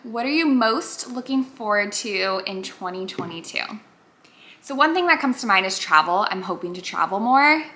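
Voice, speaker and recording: Woman doing a bubbly twenties YouTuber, crisp and bright tone, chatty, everyday mid-range pitch. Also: lively intonation, moderate pace. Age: 20s. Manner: bubbly, chatty, frequent "like" fillers and giggles. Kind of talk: casual get-to-know-me vlog chat.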